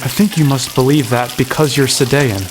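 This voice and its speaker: An actor playing a wry, kindly young man in a fantasy audio drama, narrating and speaking with gentle, self-deprecating earnestness. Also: mid-range voice, fairly even intonation, moderate pace, noisy background, lightly acted. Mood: gentle, earnest. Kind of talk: acted first-person narration and dialogue.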